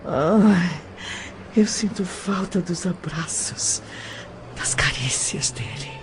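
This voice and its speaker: A woman's voice: on the low side, expressive, slow pace, music in the background. A woman playing a lovesick, delirious young woman in an audio drama, emotional and pleading for her love. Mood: dreamy longing. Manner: breathy sighs, tearful emotional outbursts.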